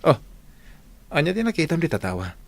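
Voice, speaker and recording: A man's voice: on the high side, huge pitch swings, quick pace, vocal fry, full character voice. An actor with an Ilocano accent playing an earnest younger brother defending his inheritance in a radio drama. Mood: protesting. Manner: earnest, protesting.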